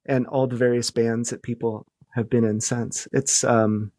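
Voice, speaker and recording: A middle-aged man speaking as a podcast guest, chatting casually and somewhat haltingly as he thinks aloud. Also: medium pitch, level tone, a little mellow, dry recording. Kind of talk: casual conversational storytelling.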